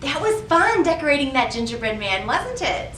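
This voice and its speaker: A woman's voice: high voice, big pitch swings, normal speed, a bit bright. A woman hosting a children's storytime in a sing-song, questioning manner, giving instructions and reading picture books. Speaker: children's library storytime host. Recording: roomy, distant mic, faint background noise.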